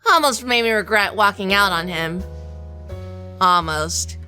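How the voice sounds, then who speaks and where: A woman playing a wry, tough female sleuth in a hardboiled noir audio drama, telling it in measured, dramatic fashion. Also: mid-range voice, bright, forceful tone, soft background music. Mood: wry, weary. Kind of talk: first-person noir audio drama narration.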